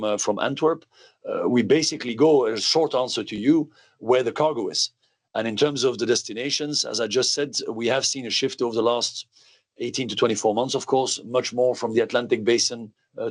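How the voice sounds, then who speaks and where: Male executive, mid-pitched voice, measured and explanatory, fielding questions on an earnings call. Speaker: company executive.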